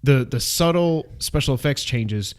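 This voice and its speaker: Man, movie review co-host, casual chat, mid-pitched voice, rambling, excitable asides, self-correcting. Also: unhurried, clear, resonant tone. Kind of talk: casual unscripted movie discussion.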